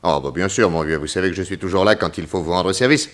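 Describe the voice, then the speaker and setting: An actor playing a self-assured, jovial private detective in a French radio drama, inquisitive and wry in a conversational way. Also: male voice, deep voice, fast, lightly acted. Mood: brisk, obliging.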